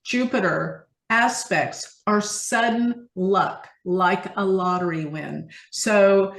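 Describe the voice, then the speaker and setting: A woman's voice: medium pitch, takes it slowly, fairly bright tone. A woman explaining astrology as an interview guest, emphatic and enthusiastic, often posing questions and answering them herself. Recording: roomy, echoing sound.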